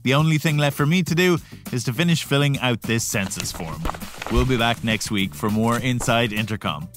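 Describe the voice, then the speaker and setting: A man's voice: mid-range voice, normal speed, music in the background. A man hosting a podcast, delivering scripted narration. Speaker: podcast host.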